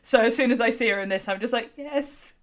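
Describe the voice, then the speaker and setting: A woman's voice: a little high, brisk, a loud crowd. A woman talking casually and frankly about a film as a podcast host, swearing freely and peppering her speech with "like". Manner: informal, opinionated, sweary, frequent "like". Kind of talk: casual chat, film discussion.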